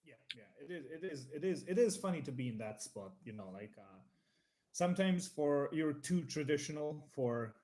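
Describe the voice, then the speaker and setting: A young man from Croatia, a native Croatian speaker, chatting casually in English with a relaxed, laid-back manner and repeated words. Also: on the high side, normal speed. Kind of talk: casual live-stream chat.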